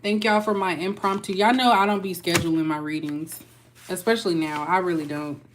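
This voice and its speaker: A woman's voice: fairly low pitch, steady tone, a little fast, noisy background. A young woman with a Texas accent giving a casual, chatty tarot reading and talking loosely to her viewers. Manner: chatty, loose, folksy with y'all. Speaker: tarot reader.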